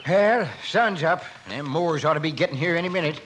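An actor playing a stubborn, suspicious older rancher in a feud, with a rustic drawl, in a western radio drama. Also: male voice, a little high, brisk, fairly bright tone, natural voice. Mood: defiant. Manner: folksy, rustic cowboy drawl. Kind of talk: 1950s western radio acting. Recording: faintly noisy old radio recording.

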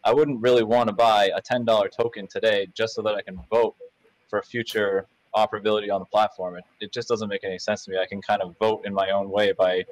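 Man, somewhat low pitch, dry sound, informal panel guest, plain-spoken doubter. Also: level tone, quick pace, no room sound, rough recording. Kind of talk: casual opinion in a discussion.

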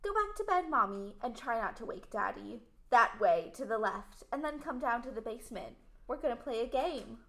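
A rich teenage queen bee acted by a woman in an amateur radio drama. She is imperious and syrupy, commanding the room in a fairly high, theatrical voice. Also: expressive, moderate pace, creaky, hardly any pauses, sharp tone, exaggerated voice. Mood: condescending. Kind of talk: amateur radio play acting.

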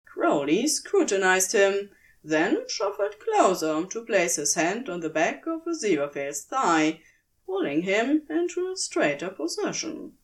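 A woman narrates scripted fiction in a medium-pitched voice. Her reading is slow and measured, yet the melody is lively and varied as she tells the story.

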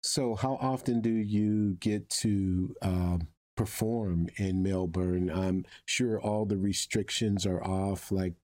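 A man hosting an upbeat conversational interview, effusive in manner, with a husky voice. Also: sits low, fairly even intonation, slow, hardly any pauses, darkish tone. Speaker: show host interviewing a guest.

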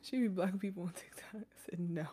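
A woman co-hosting a podcast, chatting casually in an animated, jokey way and laughing often. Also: fairly low pitch, fast talker, a little hiss or hum. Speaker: podcast co-host. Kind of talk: casual chat.

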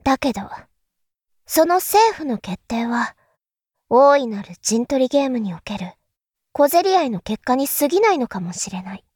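A woman playing a calm, enigmatic elder sister in an audio drama, speaking in a deliberate, philosophical, teacherly way. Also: high-pitched, breathy edge, no room sound, studio quality, exaggerated voice. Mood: grave. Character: calm, enigmatic elder sister musing darkly about world affairs. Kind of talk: Japanese audio drama acting.

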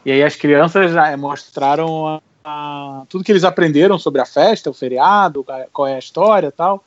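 Carioca man, animated storyteller, fairly high voice, dry and slightly dull recording. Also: normal speed, no room sound. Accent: Carioca Portuguese. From Rio de Janeiro, Brazil. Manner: lively, animated storytelling with laughs. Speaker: podcast co-host. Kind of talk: casual chat.

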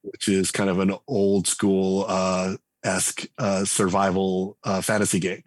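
A man, a game designer and writer, talking reflectively as a podcast guest, pausing often on fillers. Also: low-pitched, monotone, no room sound. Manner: reflective, hesitant with frequent fillers. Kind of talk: casual interview talk.

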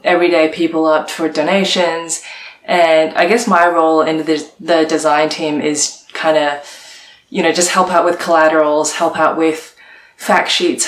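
Low-pitched young woman from hong kong, chatty interview answers, lots of ums, echoey room. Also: a little hiss or hum. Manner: chatty with many fillers and "like"s. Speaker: guest, art director.

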